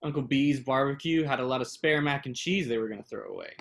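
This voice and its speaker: An actor plays a nervous would-be small business owner in a play reading. He is a man with a medium-pitched voice, hesitant and evasive in how he talks. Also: fast, close to an everyday voice. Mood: casual. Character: nervous, evasive male aspiring food-truck owner.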